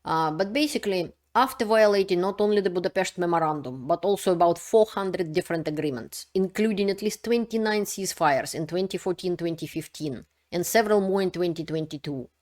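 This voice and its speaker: Woman, lowish voice, earnest, insistent, non-native english, vlog commentary. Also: a little mellow. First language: not Ukrainian. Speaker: political commentary vlogger. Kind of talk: unscripted commentary to camera.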